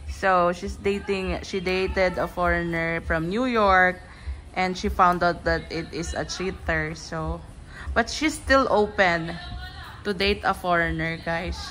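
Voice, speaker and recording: Slow, giggly Filipino woman vlogging. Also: lowish voice, a bit bright, faint music behind, low-quality recording. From Philippines. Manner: giggly, chatty, mixing English and Tagalog. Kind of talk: casual vlog chat. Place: nail salon, indoors.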